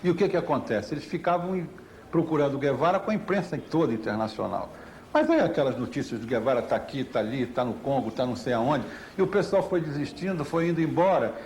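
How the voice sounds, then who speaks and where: A man's voice: medium pitch, sing-song, fast, a little mellow. Brazilian man explaining, faint background noise. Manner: explanatory, asks rhetorical questions. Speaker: interviewed commentator on media history. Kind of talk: unscripted explanatory talk. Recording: faintly noisy old TV interview.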